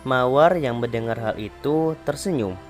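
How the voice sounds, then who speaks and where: A male primary school teacher reading a children's fable aloud in a calm, clear voice for a remote class. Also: mid-range voice, moderate pace, faint music behind. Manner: calm, clear storybook narration.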